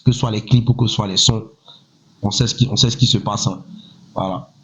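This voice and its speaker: A man in his thirties from Côte d'Ivoire, a rapper speaking in a measured, self-assured way, often pausing on "voilà" and asking himself rhetorical questions. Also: fairly low pitch, fairly even intonation, fairly bright tone, slight background noise. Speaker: rapper, interview guest. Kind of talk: casual interview talk. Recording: slightly muffled, lo-fi sound.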